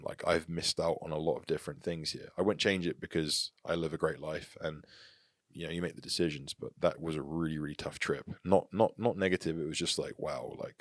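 A low-pitched English man in his thirties speaks earnestly and reflectively as a guest in a relaxed interview. His voice is close and dry, with no room sound around it. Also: no room sound. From England. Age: 30s. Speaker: podcast guest. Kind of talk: casual interview talk.

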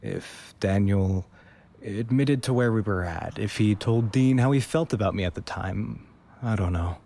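A man's voice: lowish voice, a little slow, vocal fry, warm tone. A man playing a wistful, hesitant young narrator in an audio drama, musing as he speaks.

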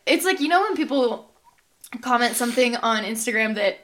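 Young woman podcaster, bright higher voice, chatty and worked up, says like a lot. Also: animated, normal speed. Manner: chatty, heated, lots of "like". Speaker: podcast co-host. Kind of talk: casual chat.

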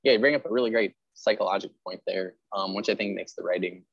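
A man's voice: sits low, fast talker, gravelly, no room sound, lo-fi sound. A man hosting a casual interview, chatting in an agreeable, rambling way with lots of filler words. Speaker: podcast host interviewing a writer.

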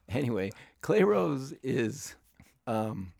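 A man's voice: medium pitch, big pitch swings, drawn-out words, gravelly, a little mellow, dry recording, studio quality. A man who hosts a music podcast and speaks with a stutter, chatting casually with a guest. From Colorado. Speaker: podcast host and music writer. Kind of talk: casual interview chat.

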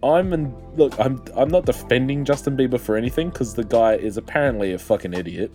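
A man's voice: medium pitch, darkish tone, faint music behind. A young man co-hosting a casual podcast chat, swearing often and getting emphatic as he rants. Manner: sweary, emphatic, quick to rant. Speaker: podcast co-host.

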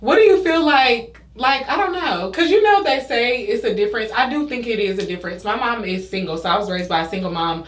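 A woman's voice: a little high, expressive, fairly quick, few pauses. A young American woman hosting a casual conversational podcast, agreeable and affirming as she talks. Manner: agreeable, frequent affirming interjections. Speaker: podcast host. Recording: roomy, echoey sound.

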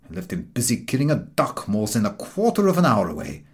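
A man's voice: on the low side, huge pitch swings, fairly quick. A man voicing an exasperated traveller in an audiobook, complaining in an aggrieved, contemptuous way. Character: exasperated weary traveller saddled with a stray dog. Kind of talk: audiobook dialogue.

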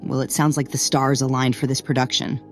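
A man's voice: medium pitch, flat delivery, brisk, faint music behind. A man interviewing a guest, putting questions and responding to the answers.

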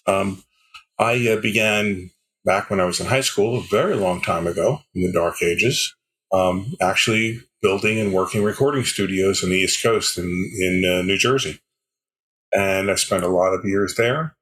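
An older man answering interview questions as a guest, explaining in a measured way with pauses between phrases. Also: sits low, a bit bright, rough recording. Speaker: podcast guest, sales executive.

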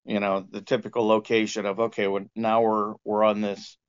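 A man being interviewed as a sound engineer, explaining in a conversational, halting way with lots of "you know". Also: lowish voice, flat delivery, clean tone. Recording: dull, lo-fi band-limited sound.

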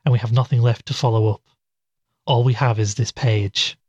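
An actor playing a weary, subdued male investigator, recounting a mystery in a measured, reflective audio drama monologue. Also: medium pitch, fairly even intonation, husky, dry recording, lightly acted. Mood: subdued, resigned. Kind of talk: audio drama monologue, recorded-log narration.

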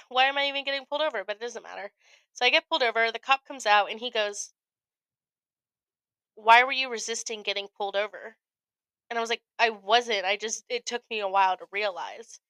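Young adult woman, American accent, crisp clear voice, close dry sound, casual animated storytelling, sweary podcast chat. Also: fairly high pitch, no echo. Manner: animated retelling with acted-out quotes, frequent profanity. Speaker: podcast co-host.

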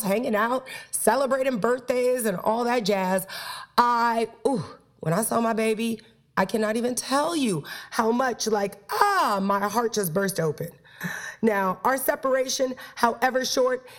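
A woman hosting a playful, flirty talk show about sex and relationships, her voice stuffed up from a cold. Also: fairly high pitch. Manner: playful, flirty, talks straight to listeners. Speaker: podcast host.

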